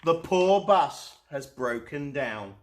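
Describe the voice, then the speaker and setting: A man voicing a good-hearted bus driver who craves adventure, in expressive storybook dialogue. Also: on the high side, takes it slowly. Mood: dejected.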